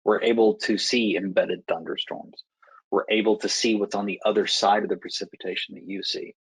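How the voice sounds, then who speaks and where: An American man working as an air traffic controller, explaining procedures in a measured, instructive way with deliberate pauses. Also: on the low side, fairly even intonation, slightly rough, no echo, low-quality recording. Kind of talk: explanatory Q&A talk to pilots.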